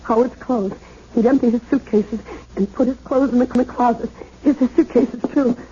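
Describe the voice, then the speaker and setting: Actress as high-pitched, distraught young woman. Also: steady tone, background noise, lightly acted. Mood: desperate, insistent. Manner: pleading, insistent, halting with repeated words. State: tearful. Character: distraught, high-strung young woman insisting she saw murder. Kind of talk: 1970s radio drama acting. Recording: muffled vintage radio broadcast.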